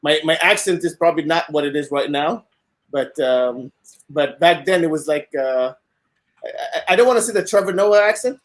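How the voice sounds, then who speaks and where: A man's voice: a little high, lively intonation, moderate pace. A man from Kenya, a guest on a podcast, speaking casually and hesitantly with many fillers. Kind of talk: casual conversation.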